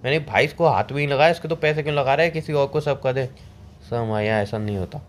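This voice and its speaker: A man's voice: medium pitch, fast, lightly acted. A young man playing a cheeky, broke boyfriend in a phone-call drama, argumentative and colloquial as he justifies himself. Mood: exasperated storytelling. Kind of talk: scripted comic phone-call drama between a couple. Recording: clear background ambient noise.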